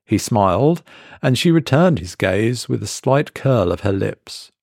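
A man reading a scripted horror story as a dramatic narrator, measured and deliberate with pauses for effect. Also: on the low side, expressive, gravelly, a little mellow, no echo, clean studio sound.

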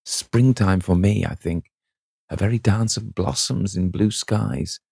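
A man's voice: on the low side, unhurried, gravelly, a little mellow, breathy edge, no echo, studio quality, pitched above the performer's own voice, full character voice. A man voicing a dreamy lovestruck young actress. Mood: rapturous. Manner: breathless, rapturous.

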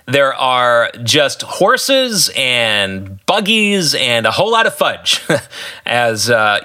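American male podcast host, forceful bright tone, slightly high pitch, emphatic storyteller talking through his own fiction. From West Michigan, United States. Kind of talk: conversational commentary on own story.

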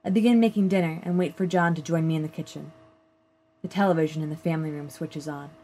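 Woman reading fiction, lowish voice, noisy recording. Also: steady tone, fast, mellow. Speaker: short story reader. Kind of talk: scripted literary narration, first-person short story read aloud. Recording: clear background noise.

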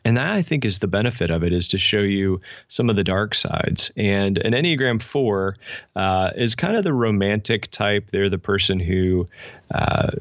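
A man's voice: lowish voice, lively intonation, moderate pace, a bit bright, no echo, dull audio. A man in his forties talking casually and reflectively as an interview guest, self-deprecating and often restarting his sentences. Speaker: podcast guest, pastor and author.

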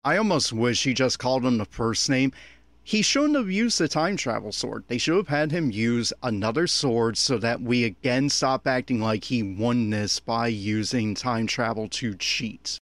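A man's voice: mid-range voice, lively intonation, a little slow, a bit bright, no room sound. A man giving an unscripted running commentary in a critical, exasperated, opinionated manner. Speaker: commentator on an anime episode.

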